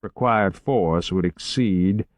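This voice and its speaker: A refined, unflappable agent, as a man reads him. The voice is low, measured and unhurried. Dry studio sound. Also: dry recording. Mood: calm.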